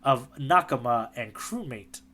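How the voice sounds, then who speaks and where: Bright animated male podcast host. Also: medium pitch, measured pace. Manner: animated, laughs often, many fillers. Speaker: podcast co-host, tour guide. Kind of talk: casual podcast chat.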